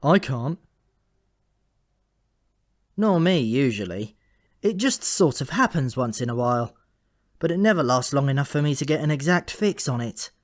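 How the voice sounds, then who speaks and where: A man's voice: medium pitch, animated, normal speed, pauses often, dry recording, studio quality, close to an everyday voice. A man voicing an earnest, slightly wounded male raven with a defensive, chatty manner, in a comic fantasy audiobook.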